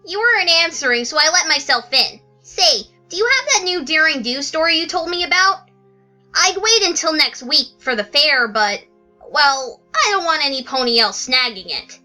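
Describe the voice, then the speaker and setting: A woman's voice: high-pitched, lively intonation, moderate pace, bright, forceful tone, faint music behind, full character voice. A woman voicing a brash, breezy young female pegasus pony in a cartoon-style audio drama, casual and eager.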